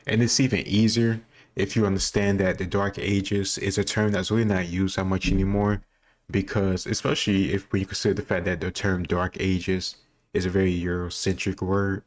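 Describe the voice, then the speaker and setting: Low-pitched man, fairly even delivery. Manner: rambling explanatory asides. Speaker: host answering quiz questions. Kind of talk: casual off-the-cuff commentary.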